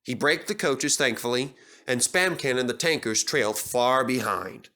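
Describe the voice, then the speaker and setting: Male storyteller for scripted children's narration, mid-range pitch. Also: normal speed, sharp tone.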